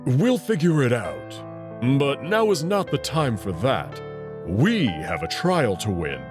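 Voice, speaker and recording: A man's voice: medium pitch, sing-song, slow pace. A man doing Amicus, a confident wolf heir. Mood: firm. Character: confident young male wolf heir, reassuring. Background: background music.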